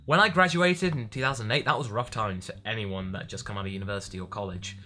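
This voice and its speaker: A man's voice: lowish voice, fast talker, a little hiss or hum. A man around his thirties talking casually and enthusiastically in a question-and-answer vlog. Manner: enthusiastic, animated. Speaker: online vlogger.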